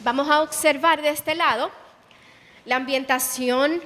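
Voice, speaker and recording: A woman's voice: high voice, fairly even intonation, a little slow, fairly bright tone, big-room sound. A woman guiding a museum tour, explaining history and quoting dialogue. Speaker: museum guide. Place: indoor exhibition hall.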